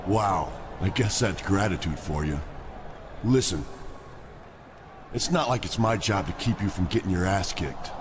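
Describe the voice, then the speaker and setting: A man's voice: deep voice, lively intonation, unhurried, pauses often, noisy background. A man voicing a cocky wrestler who talks to a rival in a sarcastic, dismissive way.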